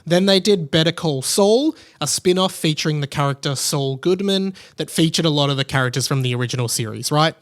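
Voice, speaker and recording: Podcast host, male, Australian accent, fairly high pitch, emphatic and conversational solo commentary. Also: expressive, fairly quick, few pauses. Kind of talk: casual solo pop-culture commentary.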